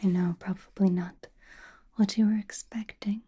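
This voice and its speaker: A woman playing a mysterious, attentive sorceress fortune-teller in a whispered ASMR roleplay, speaking softly and deliberately close to the microphone. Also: mid-range voice, fairly even intonation, lightly acted. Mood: gentle, teasing. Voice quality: breathy, mostly unvoiced whisper. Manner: slow, deliberate, soothing with soft pauses. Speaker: ASMR performer.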